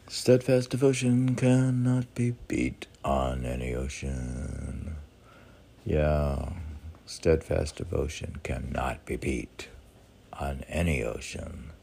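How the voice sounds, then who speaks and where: A man's voice: extremely low pitch, very slow, creaky, long pauses, dark tone, husky. An older man musing casually and reciting short poems, rambling along as he asks and answers his own questions. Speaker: poet, meditation teacher. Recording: faint background noise with clicks.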